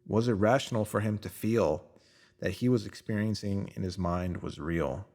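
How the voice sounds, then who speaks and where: A man with a somewhat low-pitched voice reads a scripted motivational text aloud. His delivery is earnest and instructive, leaning on rhetorical questions as he narrates. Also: moderate pace, darkish tone. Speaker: narrator reading a written motivational post.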